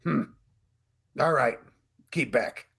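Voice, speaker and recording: A man playing a gruff, suspicious working-class father in a vintage-style radio drama. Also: medium pitch, takes it slowly, very noisy. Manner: gruff, curt.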